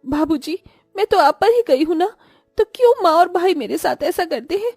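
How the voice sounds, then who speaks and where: A woman acts out a meek young daughter from a cartoon tale. Her voice is high and pleading, apologetic, with faint music behind it. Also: animated, normal speed, darkish tone, no room sound, studio quality. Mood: tearful pleading. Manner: earnest, pleading. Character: apologetic, hurt 25-year-old daughter, eager cook.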